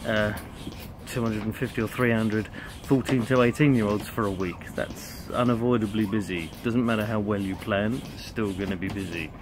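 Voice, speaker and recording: A man with a fairly low voice gives relaxed, chatty vlog narration. He is recorded outside at a campsite, and wind and outdoor noise are clearly audible around him. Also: moderate pace. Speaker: vlogger and youth camp leader. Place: outdoors on a campsite, under a tent awning. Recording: windy, noisy outdoor camera sound. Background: wind and outdoor ambience.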